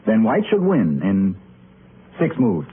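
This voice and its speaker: An actor playing a polite, cultivated doctor with formal manners in an old radio drama. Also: male voice, lowish voice, huge pitch swings, slow, full character voice. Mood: polite, curious. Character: polite, curious male doctor, cultivated chess enthusiast. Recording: noisy, narrow-band old radio recording.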